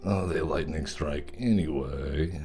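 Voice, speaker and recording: Deep, dark-toned male streamer, relaxed chatty game commentary, faint guitar music under it. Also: slow. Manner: easygoing, chatty, thanks viewers. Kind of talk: casual gameplay commentary.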